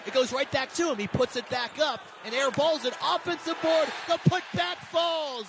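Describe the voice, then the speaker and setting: High-pitched man calling basketball over arena noise. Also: vocal fry. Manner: clipped, vivid rapid-fire calls. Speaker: radio basketball play-by-play announcer. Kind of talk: live sports commentary. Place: basketball arena during live games.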